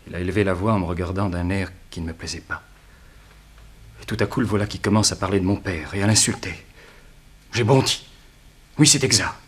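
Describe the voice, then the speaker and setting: An actor playing an anguished, naive young student who confesses earnestly, with a husky voice, in a 1960s French radio crime drama. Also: male voice, low-pitched. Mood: agitated confession. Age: young adult. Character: anguished young male student, naive and guilt-ridden suspect.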